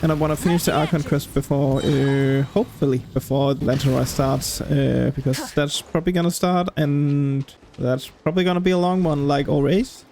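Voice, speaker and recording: A man's voice: a little high, fairly even intonation, moderate pace, hardly any pauses, darkish tone, slight background noise. A young man doing casual, rambling gameplay commentary, thinking aloud in an informal way. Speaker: video game let's-play host. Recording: game music behind commentary.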